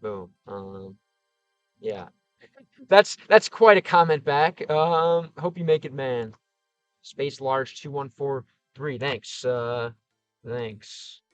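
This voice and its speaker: A man's voice: mid-range voice, expressive, slow pace, fairly bright tone, dry recording, dull audio, faint music behind. A man with a Chicago Midwest accent, slightly whiny vowels, thinking aloud as he answers comments on a livestream with lots of fillers and restarts. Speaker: streamer and game creator.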